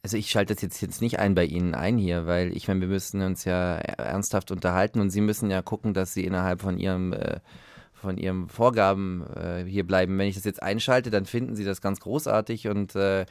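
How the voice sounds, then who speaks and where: A lowish voice, recorded dry. an eccentric inventor-philosopher in a radio satire, earnest and prickly. He stammers and halts, yet keeps insisting. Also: little pitch movement, fairly quick, darkish tone, clean tone, no room sound. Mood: earnest, defensive. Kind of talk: improvised-sounding radio satire sketch.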